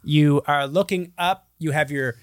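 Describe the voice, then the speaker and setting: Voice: medium pitch, measured pace, clear, resonant tone, dry recording, clean studio sound. A podcast co-host chatting casually and comedically, bantering and swearing freely.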